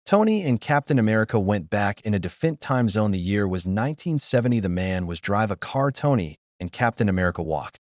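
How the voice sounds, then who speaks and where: Man recapping in swooping, lively pitch. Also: brisk, hardly any pauses, dry recording, clean studio sound. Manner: short, choppy, loosely worded sentences. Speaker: amateur film reviewer. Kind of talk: simple spoken retelling of a film's plot.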